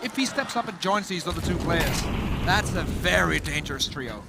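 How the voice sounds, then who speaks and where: A man with a Danish accent, a native Danish speaker, casting a game as an esports commentator, excited and analytical. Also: fairly high pitch, normal speed, vocal fry, heavy background noise. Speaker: esports caster.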